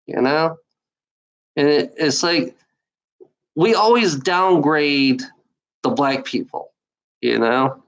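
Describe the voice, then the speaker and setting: A woman's voice: low-pitched, rough recording. Woman ranting, cursing and repeating herself. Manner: angry, profane, repeats phrases, halting restarts. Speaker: online commenter. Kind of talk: ranting monologue to camera.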